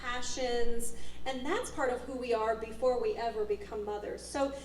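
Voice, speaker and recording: A woman's voice: a little high, fairly even intonation, normal speed. A woman moderating a church panel, candid and playful in her talk. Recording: roomy echo, mic at a distance, faint noise.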